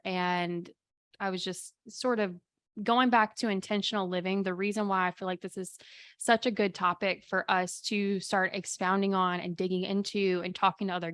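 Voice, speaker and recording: A woman with a slightly raspy voice hosting a casual solo podcast from her home office, telling chatty stories with quick asides. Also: mid-range voice, a bit bright, no echo, clean studio sound. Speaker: podcast host and spiritual coach.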